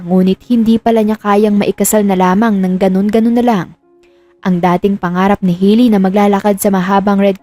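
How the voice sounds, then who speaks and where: Woman, mellow relaxed tone, mid-pitched, scripted romantic storytelling, close dry recording, music behind. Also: no echo. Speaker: narrator. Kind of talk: scripted narration of romantic drama.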